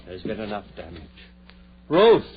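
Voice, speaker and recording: A man's voice: lowish voice, huge pitch swings, normal speed. Desperate, anguished male doctor, melodramatic vintage radio horror style, dull noisy sound. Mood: horrified, guilty. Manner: melodramatic, overwrought. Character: anguished guilt-ridden doctor, desperate, devoted to revived woman. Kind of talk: 1940s radio horror acting. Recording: muffled, noisy, humming vintage 1940s radio recording.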